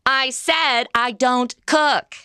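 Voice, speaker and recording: A woman's voice: high-pitched, fairly even intonation, very slow, bright, forceful tone, clean tone, no room sound, studio quality, heavily acted. A woman voicing a sarcastic modern city woman stuck in the Old West in a comedy audio drama, trading snarky quips.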